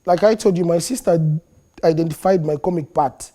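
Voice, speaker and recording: A man with a Zambian accent, a comedian being interviewed in a studio, animated and quick to laugh, slipping into local languages now and then. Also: a little high. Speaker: comedian guest. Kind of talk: interview talk.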